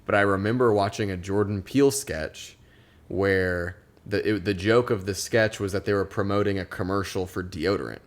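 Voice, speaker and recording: A man's voice: sits low, steady tone, moderate pace. A young man co-hosting a podcast, chatting casually in a rambling, laughing way. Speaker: podcast co-host. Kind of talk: casual chat. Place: podcast studio.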